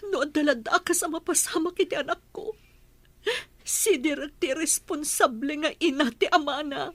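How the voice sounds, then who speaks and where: A woman's voice: high voice, normal speed, no room sound, natural voice. A woman acting a grieving young mother in an Ilocano radio drama, with a tearful, emotional delivery and an Ilocano accent. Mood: anguished. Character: grieving young mother pleading for her sick child.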